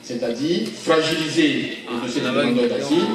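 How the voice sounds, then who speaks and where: A man with a Congolese French accent speaks as a lawyer at a public conference, explaining points deliberately and didactically. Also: medium pitch, faint music behind. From Democratic Republic of the Congo. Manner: deliberate, didactic, rhetorical questions. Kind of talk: public talk, explanatory speech. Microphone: handheld or event microphone. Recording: very echoey hall, far from mic.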